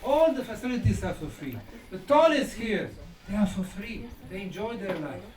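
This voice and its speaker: A man's voice: sits high, big pitch swings, moderate pace, darkish tone. A man in his sixties with a Bosnian accent, giving an emphatic conference lecture in short declarative phrases. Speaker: lecturer and researcher. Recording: echoey hall from far off, music behind.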